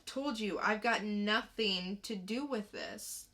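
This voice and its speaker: A woman's voice: medium pitch, slow, a bit bright. A woman acting a defiant, streetwise teenage boy, guarded and slangy, in a dramatic comic-book reading. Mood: defensive, incredulous.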